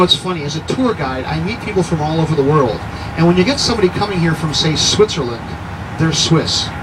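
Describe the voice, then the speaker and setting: A man in his sixties giving a guided city tour to a group, chatty and jokey, often quizzing his listeners. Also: a little high. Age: 60s. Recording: noisy outdoor recording with traffic.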